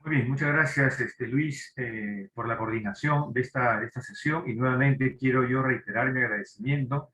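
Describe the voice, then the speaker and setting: Male academic, bright forceful tone, courteous formal closing. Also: mid-range voice, normal speed, hardly any pauses, rough recording.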